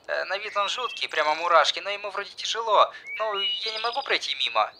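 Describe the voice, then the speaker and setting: A man voicing a chatty, naive schoolgirl in an audiobook, with a light, soft falsetto and hesitant manner. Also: lively intonation, quick pace, a little hiss or hum, full character voice. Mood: earnest, hesitant. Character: chatty, naive schoolgirl, earnest junior friend.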